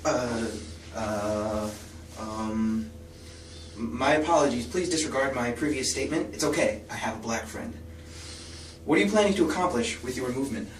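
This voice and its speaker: A man's voice: medium pitch, lively intonation, moderate pace, lightly acted. A man playing an awkward, tactless field correspondent in an amateur interview sketch. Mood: flustered. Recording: echoey, distant mic, faint noise.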